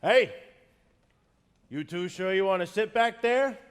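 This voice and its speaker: A man's voice: high voice, animated, takes it slowly, clean tone. A man playing a goofy, reckless shuttle bus driver who chuckles as he talks, in a live stage radio play. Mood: gleeful. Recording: theater hall with long reverb.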